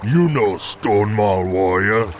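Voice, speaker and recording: A man voicing a brutish, dim, proud ogre warrior in a video game, speaking in blunt broken grammar with a rough voice. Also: fairly low pitch, animated, slow pace, slight background noise. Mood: gruff, challenging. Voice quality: gravelly, rough. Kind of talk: video game cutscene acting. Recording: music behind the voice.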